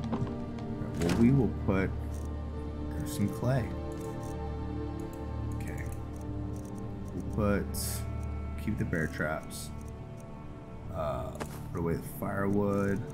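A man giving casual, low-key video game commentary, thinking aloud as he plays, with music behind him. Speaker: gameplay host.

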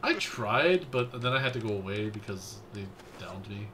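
A man's voice: on the low side, expressive, normal speed, sharp tone, a little hiss or hum. A man chatting casually while playing a game with friends, teasing and laughing often, with some swearing. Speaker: co-host and gamer. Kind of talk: casual gaming chat.

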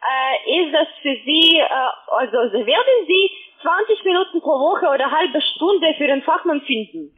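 A woman who is a non-native speaker giving a halting, pressing phone sales pitch full of questions over a narrow phone connection. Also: animated. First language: not German. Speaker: phone sales agent, account manager. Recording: narrow tinny phone line.

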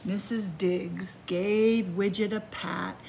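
A woman reading a picture book aloud to children in a library, with slow, expressive storytelling, hushed whispers and excited rises. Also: medium pitch, gravelly, slight background noise. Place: library in front of bookshelves. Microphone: camera facing the book.

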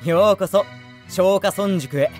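A man voicing a gentle, eccentric young idol teacher in an anime voice drama, speaking courteously like a teacher. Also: high-pitched, faint music behind. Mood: polite. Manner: courteous, measured teacherly address.

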